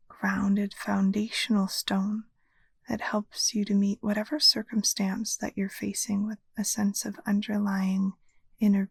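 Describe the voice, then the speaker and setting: A woman guiding a meditation as a yoga nidra teacher, speaking in a soft, soothing, breathy near-whisper. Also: medium pitch, dry recording. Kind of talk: guided meditation narration.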